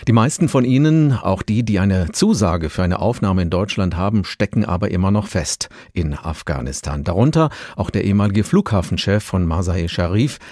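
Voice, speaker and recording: A man reporting in steady, matter-of-fact scripted narration. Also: on the low side, no room sound. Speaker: radio reporter. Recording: dry close studio sound.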